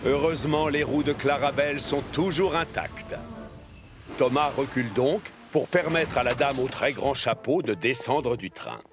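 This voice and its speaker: A man narrating a scripted children's cartoon story as an expressive storyteller. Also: mid-range voice, moderate pace, music in the background.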